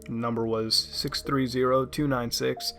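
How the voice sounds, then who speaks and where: A man narrating a first-person horror story in a dramatic yet conversational way, with halting asides. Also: mid-range voice, steady tone, a bit bright, faint music behind. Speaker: creepypasta narrator.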